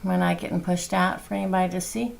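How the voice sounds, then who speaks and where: A hoarse woman who is losing her voice, hosting a livestream reading and chatting softly and slowly. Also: fairly low pitch, steady tone. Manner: soft-spoken, slow and chatty. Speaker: tarot reader hosting a livestream. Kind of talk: casual livestream chat.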